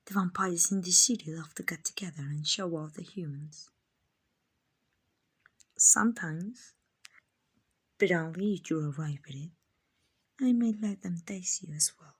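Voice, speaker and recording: A woman playing a kind, doting vampire owner in an ASMR roleplay, soft and often whispering, gently coaxing and reassuring. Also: on the low side, unhurried, pauses often, breathy edge. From Mexico. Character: kind, doting, genteel female vampire owner, soothing and possessive.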